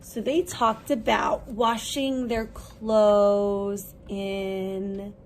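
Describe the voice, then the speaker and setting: A woman preschool teacher reading a children's book aloud expressively, with simple, deliberate questions for young listeners. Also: medium pitch, flat delivery, a little mellow, a little hiss or hum.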